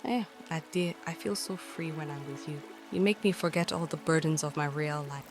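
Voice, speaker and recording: Woman doing a young princess in a folktale, gentle n torn, lowish voice, music playing under it. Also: moderate pace, natural voice. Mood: tender. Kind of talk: folktale audio drama.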